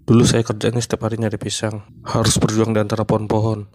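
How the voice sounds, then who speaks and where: Deadpan monkey comic, voiced by a man. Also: lowish voice, fast talker, a little hiss or hum, lightly acted. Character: male monkey stand-up comic running for mayor. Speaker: comedian. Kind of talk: stand-up comedy routine.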